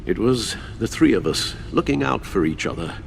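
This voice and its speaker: An elderly British butler, proper and dutiful, with a low voice that moves expressively. His speech is formal and fatherly, in dramatic video game style over faint noise. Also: expressive, normal speed, gravelly. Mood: mournful. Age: older.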